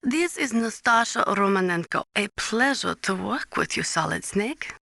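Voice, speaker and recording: A woman playing an earnest, resolute Ukrainian weapons analyst in a video game, speaking gravely and deliberately as if lecturing. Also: mid-range voice, expressive, bright, forceful tone, dry recording, studio quality, heavily acted. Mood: businesslike. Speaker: military advisor briefing a soldier. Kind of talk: dramatic video game voice acting.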